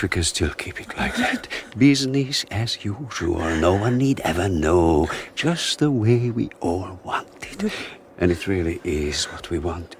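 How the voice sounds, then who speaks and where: An actor playing a suave, scheming husband in a 1980s radio drama, smooth, smug and mocking. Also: male voice, on the low side, slight background noise. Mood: calculating. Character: suave scheming male husband, middle-aged.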